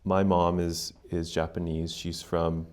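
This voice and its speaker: A man's voice: deep voice, little pitch movement, unhurried, a little mellow. An American man speaking as a podcast guest in a casual, reflective, explanatory way, often checking in with the listener. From United States. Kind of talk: casual conversational interview.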